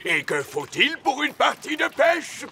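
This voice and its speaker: A man's voice: extremely high pitch, big pitch swings, measured pace, pushed and bright, slight background noise, full character voice. An actor voicing a boastful, greedy old male rabbit in a cartoon, hearty and bluff. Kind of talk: cartoon voice acting.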